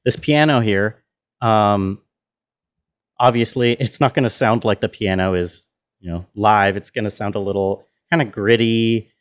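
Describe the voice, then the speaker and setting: A man's voice: lowish voice, a little slow, smooth. A man giving an informal walkthrough as a sound designer, thinking aloud as he explains. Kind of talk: casual tutorial walkthrough.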